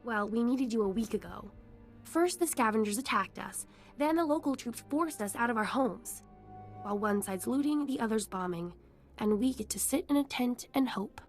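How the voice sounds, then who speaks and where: A woman's voice: a little high, expressive, moderate pace, faint music behind. A woman playing a tough, protective, worn-down young refugee in a video game, blunt and guarded. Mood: defensive, worried.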